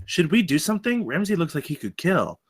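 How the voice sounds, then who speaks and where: A man's voice: a little high, very animated, brisk, slightly rough. A man reading the part of an earnest, anxious young campus security guard in a live table read. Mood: worried.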